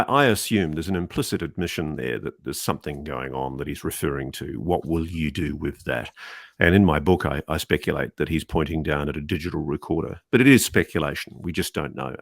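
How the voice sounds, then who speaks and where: A man co-hosting a podcast, discussing a document conversationally with deliberate, emphatic phrasing. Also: rumbling low, lively intonation, creaky, dry recording. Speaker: podcast co-host.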